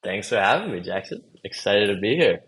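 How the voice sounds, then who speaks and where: A man's voice: fairly low pitch, big pitch swings, brisk, a bit bright, low-quality recording. A young man from Los Angeles, a podcast guest talking in a loose, easygoing, chatty way. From Los Angeles, USA. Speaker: podcast guest, marketing manager. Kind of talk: casual interview chat.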